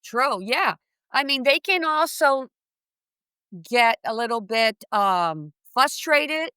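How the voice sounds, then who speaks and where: A woman's voice: sits high, animated, slow pace, pushed and bright, clean tone, clean studio sound. A woman interviewing in a conversational way, echoing and affirming what her guest says. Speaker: podcast interviewer.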